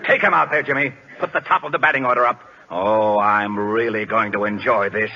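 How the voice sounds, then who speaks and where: A man's voice: on the low side, fairly quick, gravelly, pushed and bright. An actor playing an indignant, pompous male club owner, haughty and mocking, in old radio drama style. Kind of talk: 1940s-50s radio drama acting. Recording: dull lo-fi old radio broadcast.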